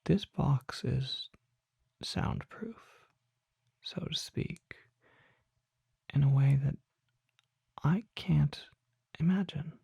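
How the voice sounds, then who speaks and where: An ASMR performer voicing a mysterious, learned vampire apothecary in a breathy, husky whisper, speaking formally in slow, deliberate phrases with measured pauses. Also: mid-range voice, warm tone, no room sound, heavily acted. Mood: hushed, mysterious. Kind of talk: whispered ASMR roleplay, slow scripted monologue. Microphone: close microphone.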